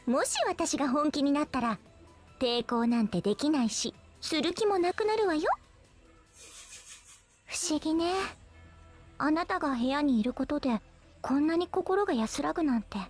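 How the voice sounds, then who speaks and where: High-pitched, teasing anime girl archer. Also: animated, normal speed, long pauses, a little mellow, soft background music. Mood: soft, affectionate. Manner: coy, teasing, theatrical. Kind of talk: Japanese anime game voice acting.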